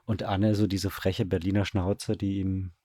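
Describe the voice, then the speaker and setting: A man speaking as a guest in a casual interview, halting and repeating words as he thinks. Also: low-pitched, level tone, dark tone, a little hiss or hum. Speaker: radio drama director, interview guest.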